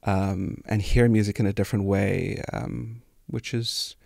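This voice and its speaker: Gravelly, low South African man chatting. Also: steady tone, a little slow, a little mellow, dry recording, studio quality. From South Africa, grew up in Cape Town. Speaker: musician guest. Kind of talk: casual reflective chat. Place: small indoor podcast studio. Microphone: close studio microphone on arm.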